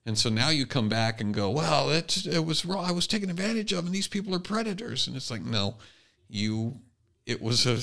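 A man's voice: mid-range voice, sing-song, brisk, creaky, sharp tone. A man co-hosting a podcast, talking casually and bluntly, often voicing hypothetical quotes to make his point. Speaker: podcast co-host. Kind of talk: casual opinionated discussion.

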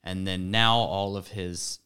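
A man with a fairly low, smooth and resonant voice joins a podcast discussion. He comments casually, in an everyday conversational way. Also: fairly even intonation, slow pace, bright, forceful tone. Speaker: podcast participant.

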